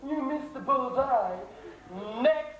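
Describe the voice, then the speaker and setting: A man's voice: very high voice, animated, slow pace, a bit bright, a little hiss or hum, exaggerated voice. A man playing a scheming, boastful wicked-uncle villain in an amateur stage pantomime, recorded from far off in a theatre. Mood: mocking. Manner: mocking, boastful, wheedling. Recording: roomy theatre sound from far off.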